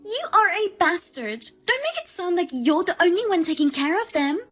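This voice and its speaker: A woman voicing a demanding, scornful sister-in-law who accuses and mocks loudly. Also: very high voice, very animated, normal speed, faint music behind, pitched above the performer's own voice, heavily acted. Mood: indignant. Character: shrill, demanding female sister-in-law, a mother.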